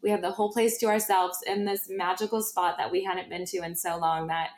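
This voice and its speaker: A woman's voice: fairly low pitch, steady tone, brisk, darkish tone. A woman hosting a podcast, telling a personal story in a casual, gushing and emphatic way. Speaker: podcast host. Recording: roomy, echoey sound.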